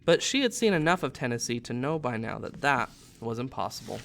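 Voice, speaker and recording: A man's voice: medium pitch, expressive, brisk, creaky, a bit bright, slight background noise. A man in his thirties, a teacher, narrating a storybook aloud expressively.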